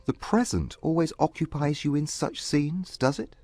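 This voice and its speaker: A man playing a proud, reserved young Regency gentleman, speaking gravely and formally in a dramatized audiobook. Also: on the high side, lively intonation, moderate pace, dry recording, faint music behind. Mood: polite, curious.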